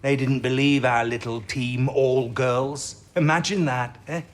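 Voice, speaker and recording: An actor playing an eccentric, ailing older British codebreaker in an audio drama, halting and exclamatory, with a fondness for whimsy. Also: male voice, medium pitch, fairly even intonation, close to an everyday voice. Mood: wistful, tipsy.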